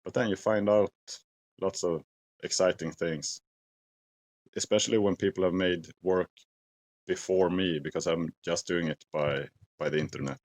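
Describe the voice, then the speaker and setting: A man in his forties with a Swedish accent, talking slowly and thoughtfully to camera outdoors in a forest at dusk, pausing to search for English words. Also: low voice, steady tone. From Sweden. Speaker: outdoor vlogger. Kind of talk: casual talk to camera.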